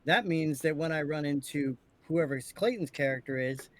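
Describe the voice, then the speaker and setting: A man's voice: a little high, moderate pace, slight background noise. A man who does voice acting, talking casually and explaining how he works. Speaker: voice actor. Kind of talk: casual chat. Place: indoors, on a group video call.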